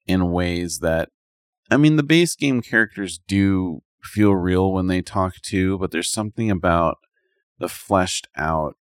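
A man's voice: sits low, dry recording, studio quality. A man in a casual, rambling chat about video games as a podcast co-host, speaking colloquially with lots of "like".

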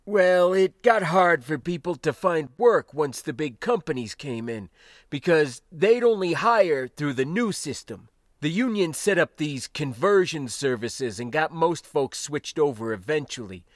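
A man's voice: fairly high pitch, expressive, a little slow, no room sound, studio quality, voice pushed up. A man voicing a proud old spacer cargo hand in an audiobook story, with folksy, clipped, rambling old-timer talk. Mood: reminiscing, proud. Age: older.

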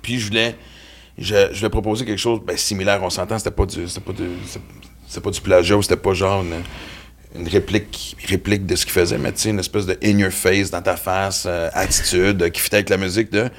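A man hosts a casual interview. He speaks quickly in a slightly low voice, rambling enthusiastically through his questions. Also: level tone, a little hiss or hum. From born in Quebec, raised in western Canada. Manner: rambling, enthusiastic, many fillers. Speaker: podcast host.

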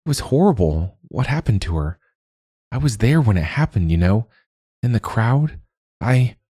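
A man voicing a talkative, persistent young woman who teases and chatters quickly. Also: on the low side, sing-song, dark tone, slightly rough, no room sound, clean studio sound, voice pushed up. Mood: earnest. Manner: talkative, teasing, rapid.

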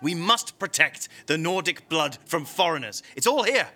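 An actor playing an indignant, fanatical Norwegian fascist prison guard in an audio drama, aggrieved and emphatic. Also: male voice, a little high, animated, moderate pace, bright, forceful tone. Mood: insistent, zealous.

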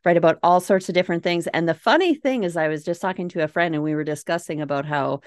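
A woman in her forties speaks with a clear Minnesota accent, chatty and easygoing, laughing between points. Her voice sits a bit low and sounds close and dry, with no room around it. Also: fast talker, dry recording. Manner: chatty, laughing easily, with filler uhs. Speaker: guest. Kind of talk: casual interview chat.

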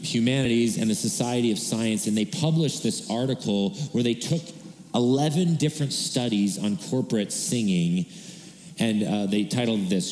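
A man preaching as a worship pastor, earnest and self-deprecating, with a loud voice, in a conversational sermon style. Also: mid-range voice. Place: church sanctuary. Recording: echoey reverberant church hall.

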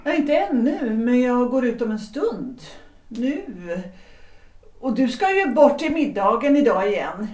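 A woman's voice: a little high, big pitch swings, darkish tone. An actress playing a composed, coolly ironic wife in a period audio drama, restrained and dryly terse in her replies. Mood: polite, evasive. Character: Gertrud, composed, coolly ironic wife, former opera singer. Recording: echoey room.

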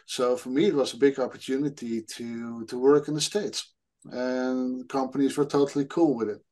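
A middle-aged man from the Netherlands speaks English with a Dutch accent, his pitch sitting in the middle. He chats on a panel in a loose, jokey way, poking fun at himself and laughing often. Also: steady tone, moderate pace, mellow. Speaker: guest developer and coach. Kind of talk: casual panel chat.

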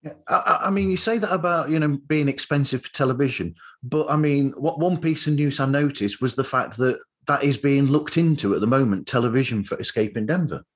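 A man's voice: medium pitch, a little fast, slightly rough. A man hosting a casual interview, rambling a little as he circles back through his thoughts. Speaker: podcast host.

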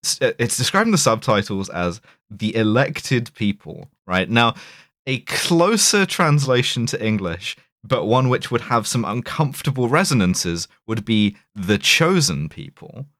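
A man's voice: medium pitch, very animated, measured pace, a bit bright, dry recording, clean studio sound. A man co-hosting a podcast, casually recapping a film with jokey, digressive commentary. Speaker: podcast co-host.

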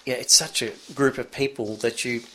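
Middle-aged male guest, average pitch, plain matter-of-fact explainer. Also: fairly even intonation, normal speed, sharp tone. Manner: matter-of-fact, explains in long run-on sentences. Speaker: guest entrepreneur. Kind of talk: interview conversation.

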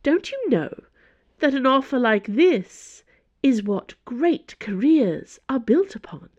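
An imperious older female cardinal, as a woman narrator puts her on for an audiobook. She speaks slowly and haughtily, with wide swoops of pitch and silky, sarcastic menace. The recording is slightly dull. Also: sing-song, a little mellow, no echo, full character voice. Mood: cold menace. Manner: slow, haughty, sarcastic delivery. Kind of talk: audiobook character voice.

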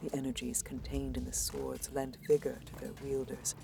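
An actress playing a solemn elf woman who recalls an ancient war in hushed, poetic audio drama narration. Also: very deep voice, little pitch movement, moderate pace, gravelly, heavily acted. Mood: solemn awe. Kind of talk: scripted first-person audio drama narration.

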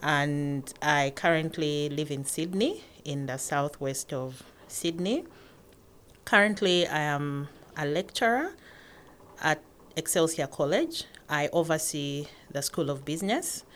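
A woman in her forties from northern Uganda, a guest answering interview questions in a calm, measured way. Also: low-pitched. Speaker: podcast guest, academic.

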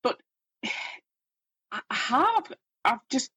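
A woman's voice: sits high, sing-song, very slow, pushed and bright, slightly rough, no room sound. A woman in her fifties with a Geordie accent, telling her own story in a candid, plain-spoken, self-deprecating way as an interview guest.

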